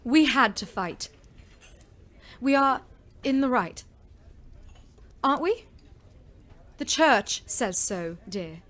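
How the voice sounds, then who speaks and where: A sentimental, patriotic young war volunteer. Her voice is very high and genteel, with prim, gushing delivery suited to a period audio drama. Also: animated, slow, pauses often, fairly bright tone. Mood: primly reproving.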